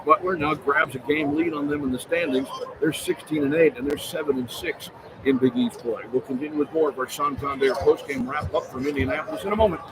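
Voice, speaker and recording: Higher-voiced male radio sportscaster over arena noise. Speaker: radio play-by-play announcer. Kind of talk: sports postgame recap. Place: basketball arena. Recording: live arena broadcast with clear background noise. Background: noisy arena ambience.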